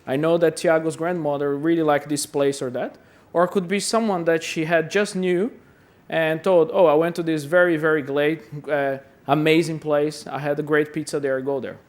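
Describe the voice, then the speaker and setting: A man's voice: on the high side, fairly even intonation, moderate pace, few pauses. A man from Brazil giving a chatty, self-deprecating conference talk, often repeating words as he goes. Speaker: conference speaker. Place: conference hall.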